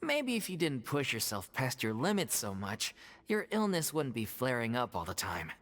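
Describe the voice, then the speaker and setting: A man voicing a caring, dry-witted companion in a video game, matter-of-fact and gently scolding. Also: mid-range voice, wildly swooping pitch, moderate pace. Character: caring but nagging companion of a sick friend, dry-witted.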